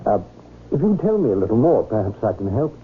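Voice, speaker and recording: An actor playing a courteous, discreet law-office clerk with a formal, obliging manner in old-time radio drama style. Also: male voice, fairly low pitch, sing-song, fast. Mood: courteous, guarded. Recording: noisy, tinny radio drama recording.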